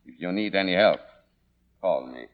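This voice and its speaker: An actor playing a stern, solemn butler with a curt, formal manner in an old radio drama. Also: male voice, low voice, lively intonation, normal speed. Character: stern, solemn male butler to a grand lady. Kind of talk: 1950s radio drama acting. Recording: dull vintage radio recording.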